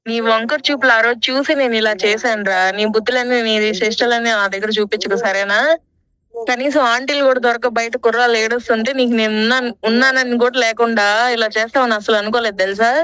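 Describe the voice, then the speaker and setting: Sharp, indignant woman scolding very quickly. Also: on the high side, fairly even intonation, rough recording. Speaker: girlfriend confronting her boyfriend. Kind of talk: scolding on a phone call.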